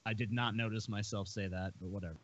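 A man's voice: lowish voice, steady tone, fast, fairly bright tone, dry recording, dull audio. A man hosting a podcast, chatting casually with crude, heavily profane language and reading out viewer messages. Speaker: podcast host.

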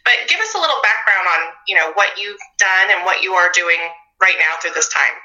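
A woman's voice: fairly low pitch, expressive, a little fast, pushed and bright, roomy sound, dull audio. A woman co-hosting a podcast, chatting over a video call in a chatty, upbeat way. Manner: chatty, upbeat, many fillers. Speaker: podcast co-host. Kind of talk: casual interview.

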